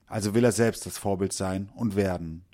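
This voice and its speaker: A man reading a scripted narration for a culture report in a measured, even way. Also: deep voice.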